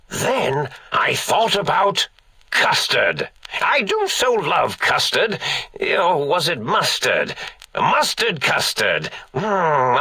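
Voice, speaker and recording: An eccentric old mad scientist, a brain in a robot tank from a video game. The voice is raspy and fairly high for a man, and it takes its time. He dithers pompously, then swells into theatrical outbursts. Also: bright, forceful tone, no room sound, exaggerated voice. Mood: whimsical reminiscing, then dreamy, drug-addled.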